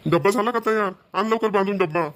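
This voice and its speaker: Man acting a fast-talking, nagging young husband. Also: sits high, a bit bright. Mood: impatient. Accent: Vidarbha Marathi. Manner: nagging, complaining. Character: harried young husband, exasperated, thrifty and scolding. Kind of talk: amateur cartoon voice acting.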